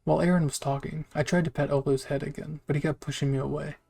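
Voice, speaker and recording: A man's voice: on the high side, level tone, fast talker, vocal fry, a little mellow, slightly rough, soft background music. A man reading a scripted first-person story aloud as a narrator. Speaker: fan fiction narrator.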